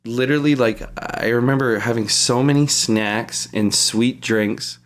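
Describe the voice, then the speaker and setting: A man's voice: medium pitch, a little slow. Man, early 30s, mid-range voice, for loose podcast banter with plenty of swearing and joking anecdotes. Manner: crude, heavy swearing, slangy. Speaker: podcast co-host. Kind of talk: casual comedic chat, telling anecdotes.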